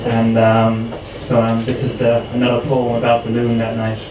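Flat-voiced man reciting a poem. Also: mid-range voice, moderate pace. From Ireland. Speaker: poet at open mic. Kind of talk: spoken word poetry reading. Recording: echoey room, distant mic, clear noise.

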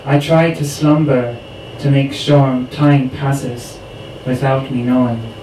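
A man from Ireland reciting his own poem at an open mic in a slow, even recitation. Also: medium pitch. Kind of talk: spoken word poetry reading. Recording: echoey room, distant mic, clear noise.

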